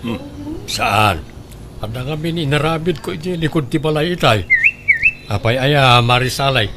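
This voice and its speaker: Loud noise sits behind an actor playing an opinionated male village neighbour. He has a mid-pitched voice and an Ilocano accent, and talks like a gossip in a radio drama. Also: measured pace, lightly acted. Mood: curious.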